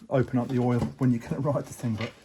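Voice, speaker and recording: A man, casually explaining a motorcycle repair as he works, in a relaxed, rambling way with plenty of fillers. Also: medium pitch, quick pace, heavy background noise. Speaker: motorcycle restorer, channel host. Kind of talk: casual workshop talk-through. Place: workshop or shed.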